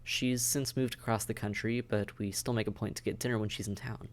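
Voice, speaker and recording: A man's voice: lowish voice, fairly even intonation, fairly quick, close to an everyday voice. A man acting a friendly, dry-witted vampire caseworker in a conversational audio roleplay, wry, understated and matter-of-fact. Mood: helpful, friendly. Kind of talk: conversational audio roleplay, one side of a dialogue.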